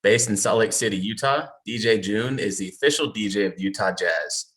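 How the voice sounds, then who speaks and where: A low-voiced man reads a short scripted introduction for a show. His delivery is upbeat, in the manner of an announcer. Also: moderate pace, a bit bright.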